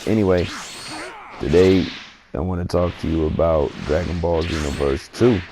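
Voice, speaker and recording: Deep, gravelly man with a cold. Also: steady tone, moderate pace, noisy background. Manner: laid-back, conversational, opinionated. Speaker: gaming commentator. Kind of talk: casual gaming commentary.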